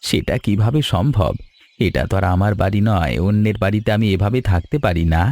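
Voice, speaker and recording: A man playing a low-voiced humble guest. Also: a little fast, a little mellow, no room sound, lightly acted. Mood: humble, earnest. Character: kind, grieving, grateful wandering man, a guest. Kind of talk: Bengali animated story dialogue.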